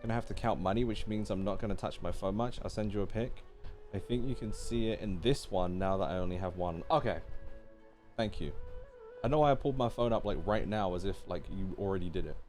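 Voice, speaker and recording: A young man giving casual, slangy live commentary as a game streamer, in an animated way. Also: lowish voice, a little fast, soft background music.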